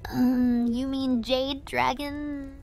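A sympathetic, trusting young fortune-reader, played by a woman, in a fantasy audio drama. The delivery is soft and slow, sometimes close to whispering, with a warm, relaxed tone and creaky edges. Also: high voice, soft background music, exaggerated voice. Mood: playful. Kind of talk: immersive fantasy roleplay audiobook acting.

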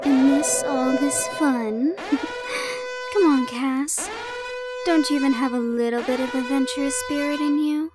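A woman's voice: sits high, lively intonation, measured pace, loud music behind the voice, low-quality recording. A woman playing a teasing, chaos-loving villainous fae in an audio roleplay drama, with a theatrical drawl.

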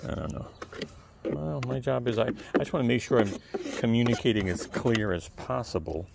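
A man with a soft, calm voice chatting casually to viewers while cleaning something outdoors on a lawn, filmed on a close-held camera. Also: medium pitch, moderate pace, vocal fry, very noisy. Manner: chatty, rambling asides to the viewer. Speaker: video creator. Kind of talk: casual how-to talk while working. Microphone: camera held close, point of view.

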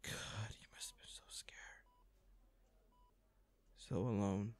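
A man's voice: on the low side, fairly even intonation, slow pace, a little mellow, in a whisper. A man acting a hot-tempered but caring boyfriend in an intimate audio roleplay, with naturalistic, sweary delivery. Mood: remorseful, grieving. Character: hot-tempered boyfriend, stressed then remorseful.

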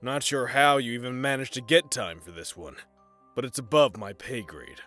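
An actor playing a resentful, grumbling prison guard in an audio drama. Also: male voice, medium pitch, normal speed, fairly bright tone, soft background music, natural voice.

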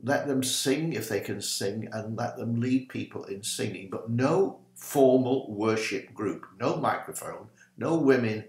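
Older man, gravelly animated minister. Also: mid-range voice, measured pace, few pauses, roomy sound. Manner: animated, gesturing with both hands, ad-libbed. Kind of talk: informal teaching talk to camera. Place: small home study, indoors.

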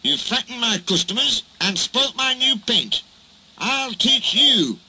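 A man as a cross barber, raised pitch. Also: big pitch swings, slow pace, sharp tone, slightly rough, heavily acted, low-quality recording. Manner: fuming, emphatic scolding. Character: indignant, cross male barber, stubborn and scolding.

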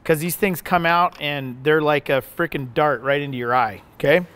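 A man's voice: fairly high pitch, moderate pace, fairly bright tone, clear, resonant tone. Folksy middle-aged man teaching welding. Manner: folksy, conversational, says "okay?" often. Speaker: welding instructor. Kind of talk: casual how-to demonstration. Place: large indoor welding workshop.

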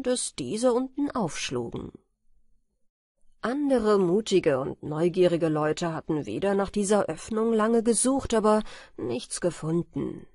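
A woman reading bedtime fairy tales as an audiobook narrator, in a measured, carefully articulated storytelling manner. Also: fairly low pitch, darkish tone, husky, dry recording, studio quality.

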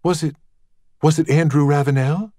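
A man's voice: on the high side, fairly even intonation, moderate pace, mellow, no echo, clean studio sound, pitched above the performer's own voice, lightly acted. A man voicing a genteel, curious young Southern woman in an audiobook, politely questioning. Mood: insistent, curious.

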